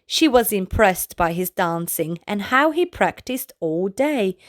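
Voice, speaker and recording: Gentle, expressive storytelling woman. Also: mid-range voice, a little slow. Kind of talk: children's bedtime read-aloud.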